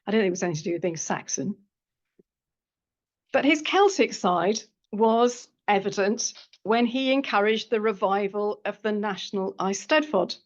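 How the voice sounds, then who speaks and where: A woman reads an academic paper aloud in a lecture room, keeping to a measured scholarly pace and adding the odd dry aside. Her voice sits at an ordinary middle pitch for a woman. The recording sounds somewhat dull and lo-fi. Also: lively intonation. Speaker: historian giving a seminar paper.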